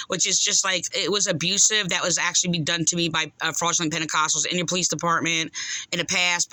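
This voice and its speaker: A woman caller making a complaint in unscripted speech, aggrieved and insistent, halting and rambling as she goes. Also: on the low side, bright, forceful tone, dry recording, low-quality recording.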